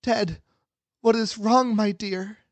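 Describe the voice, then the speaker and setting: A man's voice: sits high, fairly even intonation, slow, dry recording, voice pushed up. A man voicing an anxious, tender mother, concerned and pleading with a grown son in a hobby audio drama. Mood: worried.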